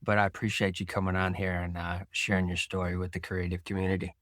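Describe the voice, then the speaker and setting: An American man with a deep, low voice hosts an interview podcast. The sound is dry, and his delivery is conversational as he leads the discussion. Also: monotone, quick pace, fairly bright tone, no room sound. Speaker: podcast host. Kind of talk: interview hosting, conversational with read-out introduction.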